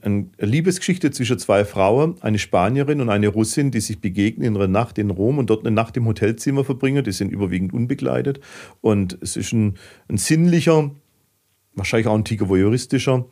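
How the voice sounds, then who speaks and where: A man from southern Germany with a Swabian accent, talking casually as a podcast guest in a fidgety, storytelling way. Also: lowish voice. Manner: fidgety, animated storyteller with dialect.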